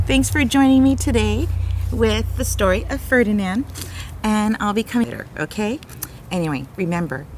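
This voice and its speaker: A woman's voice: medium pitch, a little hiss or hum, rough recording. A woman storyteller reading a picture book aloud to young children, expressively, with simple explanatory asides and exclamations.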